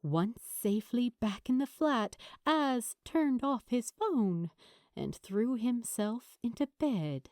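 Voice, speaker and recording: A woman reading a story as an audiobook narrator, with dramatic, deliberate phrasing and pauses. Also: on the high side, expressive, warm tone. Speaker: narrator of fan fiction.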